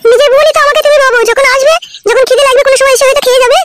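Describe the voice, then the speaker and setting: A woman voicing a kind, hospitable village wife in a cartoon comedy, affectionate and gently urging. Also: very high voice, steady tone, rapid-fire, very noisy.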